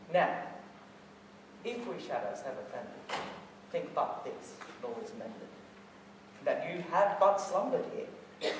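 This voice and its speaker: A teenage boy playing a mischievous fairy sprite in a declamatory, playful Shakespearean stage monologue. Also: on the high side. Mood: coaxing, apologetic. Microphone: distant camera or microphone. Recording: very echoey hall from far off, noisy.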